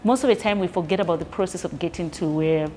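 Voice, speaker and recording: A woman's voice: lowish voice, quick pace, darkish tone, faint music behind. A woman hosting a conversational interview, upbeat and asking encouraging questions. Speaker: interview host.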